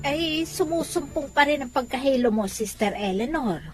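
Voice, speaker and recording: A woman playing a friendly, chatty street vendor of handkerchiefs in a religious radio drama, talking in an animated way. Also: high-pitched, normal speed, over music. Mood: friendly, upbeat.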